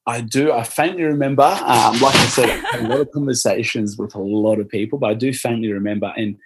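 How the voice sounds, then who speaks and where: A man's voice: mid-range voice, fast, heavy background noise. An Australian man talking casually in an interview, upbeat and rambling, with many fillers and restarts. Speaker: interviewee, former volunteer.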